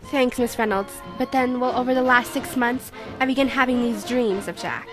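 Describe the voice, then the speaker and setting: A wistful, troubled magician's assistant played by a woman speaks in a fairly high voice, hesitant and earnest. It sounds like a noir radio drama client, with music underneath. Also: moderate pace, close to an everyday voice. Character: troubled, wistful young female magician's assistant seeking help.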